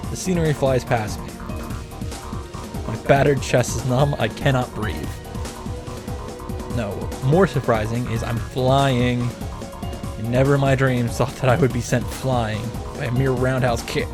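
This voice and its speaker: A man's voice: mid-range voice, fairly even intonation, a little slow, pauses often, natural voice. A man voicing a desperate teenage boy fighter in a dramatic visual novel reading. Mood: tense. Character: desperate teenage boy fighter narrating his near death. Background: background music.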